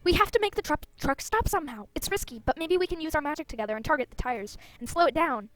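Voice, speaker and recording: A bold, hot-tempered young rider heroine speaks in a high voice, played by a woman reading game dialogue aloud. She sounds determined, and the fantasy game lines come through dry and close. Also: expressive, quick pace, a bit bright, no room sound. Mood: eager, determined.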